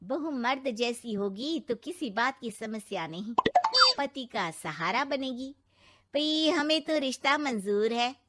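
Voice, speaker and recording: A woman's voice: on the high side, animated, takes it slowly, fairly bright tone, a little hiss or hum. A woman playing an outspoken middle-aged mother-in-law with a blunt, exclamatory manner in a cartoon story. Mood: approving. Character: outspoken middle-aged Indian mother-in-law, sharp-tongued but approving. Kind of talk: cartoon moral story acting.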